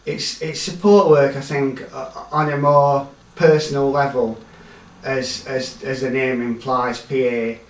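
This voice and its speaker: A man's voice: mid-range voice, flat delivery, a little slow. A man speaking informally as a personal assistant. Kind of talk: informal talk. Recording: echoey, distant, noisy location sound.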